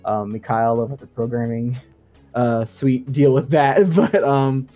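Bright-voiced man explaining his craft casually. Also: mid-range voice, steady tone, measured pace, faint music behind. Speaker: guest sound designer. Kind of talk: casual podcast discussion.

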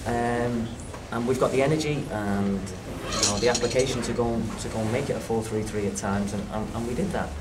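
A man speaking as a football manager in a post-match press interview, frank and halting with many restarts. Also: medium pitch, distant mic, very noisy. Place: small clubhouse room with reporters. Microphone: handheld phones and recorders held up by reporters.

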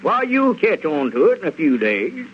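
Folksy Southern schemer running a circus, lively higher voice, persuasive, vintage radio comedy. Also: male voice. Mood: coaxing. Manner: folksy, persuasive, halting with "well, now". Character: Squire Skimp, smooth-talking rural circus manager, coaxing schemer. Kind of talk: 1930s-40s radio comedy acting. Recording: muffled, noisy vintage radio recording.

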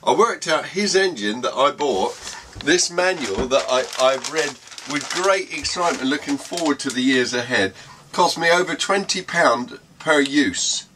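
A man's voice: a little high, lively intonation, a little slow, background noise. A man in his sixties co-hosting a sailing vlog, telling stories with mock indignation and teasing banter inside a small yacht cabin. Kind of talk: casual banter.

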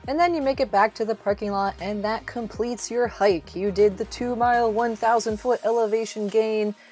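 A woman's voice: a little high, fairly even intonation, normal speed, a little mellow. Woman narrating a trail guide over music. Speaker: travel vlog host narrating a hiking guide. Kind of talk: casual instructional voice-over narration. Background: background music.

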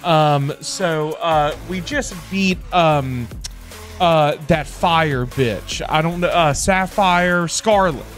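Slow-talking middle-aged man livestreaming. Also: fairly high pitch, fairly bright tone. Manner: halting, repetitive, informal. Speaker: livestream host. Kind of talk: casual livestream chat. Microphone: close desk microphone. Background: background music.

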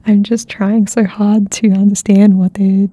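Young woman, ASMR host, soft rambling chat, flat and dark, dry close-mic sound. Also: mid-range voice, a little slow, husky, no room sound. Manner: soft-spoken, slips into whispers, many fillers. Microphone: close ASMR microphone.

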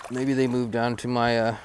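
A man's voice: mid-range voice, slight background noise. A young man casually talking to camera while fishing on a creek bank, rambling and self-deprecating, recorded on a head-mounted action camera. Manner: laid-back, rambling, self-deprecating, many "uh"s. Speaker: fishing vlogger. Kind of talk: casual outdoor commentary to camera. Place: outdoors on a creek bank under a bridge.

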